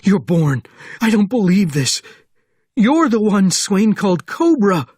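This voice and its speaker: A man voicing a huge male sergeant who shouts in terrified disbelief in an audiobook thriller. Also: high voice, big pitch swings, measured pace, slightly rough, no room sound, studio quality, voice pushed up, heavily acted. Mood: screaming panic. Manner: shouting, panicked exclamations.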